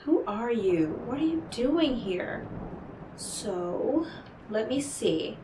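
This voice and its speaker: Woman acting as a slow-talking, enigmatic devilish stranger. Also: on the high side, sing-song, echoey room, noisy background, heavily acted. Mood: teasing, commanding. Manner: coy, deliberate, theatrical, talking directly to the listener. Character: enigmatic devilish female stranger offering deals, smooth, teasing, condescending. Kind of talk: scripted roleplay audio drama monologue.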